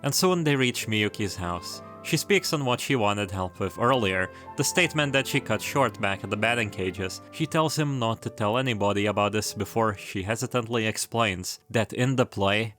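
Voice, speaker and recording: Male video essayist, lower register, casual storytelling delivery with dry asides, light music underneath. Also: moderate pace, hardly any pauses, a bit bright. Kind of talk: casual retrospective narration of a visual novel. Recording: music behind the voice. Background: background music.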